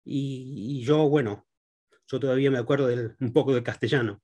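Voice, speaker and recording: A man who grew up in Argentina, a guest economist in an interview, speaking haltingly with many fillers and restarts. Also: medium pitch, fairly even intonation, dark tone. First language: Spanish.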